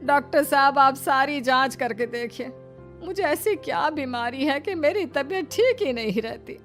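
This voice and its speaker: Woman voicing a high-pitched, complaining sickly mother-in-law. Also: animated, unhurried, a bit bright. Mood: whining. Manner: whining, scolding. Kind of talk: cartoon moral story acting. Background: faint music.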